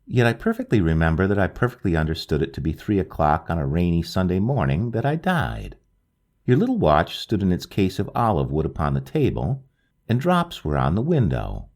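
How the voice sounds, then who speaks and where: A man reading a literary ghost story aloud, slow and hushed, lingering over each phrase. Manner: slow, hushed and lingering, with wide swings of pitch. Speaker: audiobook narrator.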